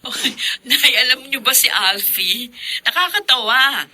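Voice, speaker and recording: A woman's voice: high-pitched, expressive, measured pace, pushed and bright, heavily acted. A woman playing a cheerful pregnant young daughter in a radio drama, chatty and respectful. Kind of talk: Tagalog radio drama acting.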